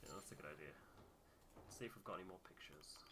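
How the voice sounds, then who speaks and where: A man's voice: rumbling low, steady tone, quick pace, a crowd in the background. A man hosting a game playthrough with casual commentary into a close microphone. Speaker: game player and host.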